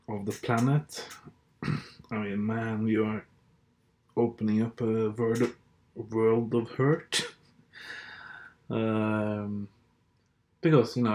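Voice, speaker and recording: A man's voice: fairly low pitch, darkish tone. A man whose first language is Norwegian, hosting a podcast in a hesitant, blunt and sardonic monologue full of fillers and restarts. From Norway. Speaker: podcast host. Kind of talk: casual monologue.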